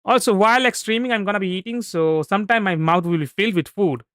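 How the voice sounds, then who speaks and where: A man with an Indian accent and Bengali as his first language, chatting casually and haltingly as he livestreams a game. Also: high-pitched, no room sound, clean studio sound. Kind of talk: casual chat. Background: soft background music.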